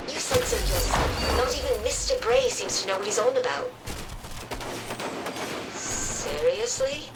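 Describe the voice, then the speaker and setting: A woman's voice: on the high side, distant mic, noisy background. A woman playing a wary, sardonic android in conversational game dialogue, skeptical and pointed, a little off the microphone. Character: wary, sardonic female android plotting in secret.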